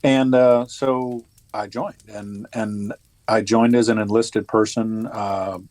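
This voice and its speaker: A man in his fifties, a retired Army officer, talking as a podcast guest in a casual, halting way with many restarts and fillers. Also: on the low side, fairly even intonation, a little mellow, dry recording. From United States. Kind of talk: casual interview conversation.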